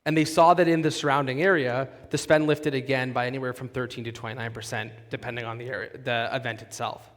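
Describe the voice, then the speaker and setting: A man's voice: medium pitch, fairly even intonation, fast, few pauses, fairly bright tone. A man giving a polished conference talk, explaining case studies and then moderating an onstage conversation. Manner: polished, explanatory, with occasional restarts. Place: large conference hall. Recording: long reverb of a conference hall.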